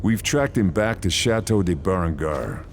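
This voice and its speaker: A man's voice: deep voice, animated, normal speed, slight background noise. A man playing a stoic, laconic Allied sniper officer in a war game, with terse, dry delivery. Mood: grim, resolute. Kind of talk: cinematic war game dialogue and narration. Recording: music under the voice.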